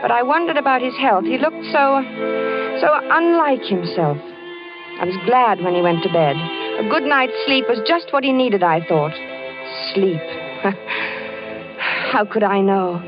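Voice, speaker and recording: Worried wife, soothing, slightly high, dull old radio sound, faint music. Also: female voice, animated, a little slow, pauses often. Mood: reflective. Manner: soothing, gently probing. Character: worried, patient wife and narrator. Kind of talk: 1940s radio acting.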